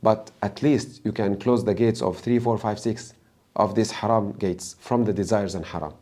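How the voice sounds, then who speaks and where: A man with an Egyptian accent and native Arabic speaks as an Islamic preacher in an interview. His voice is fairly low and very mellow. He phrases things deliberately and emphatically, dropping in Arabic religious terms. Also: fairly even intonation.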